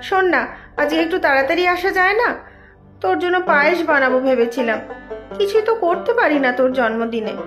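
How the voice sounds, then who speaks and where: An actress playing a gentle, affectionate, coaxing mother in a cartoon. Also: sits high, expressive, fast talker, music in the background. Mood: tender. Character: doting, gentle mother of a young worker. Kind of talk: animated cartoon dialogue.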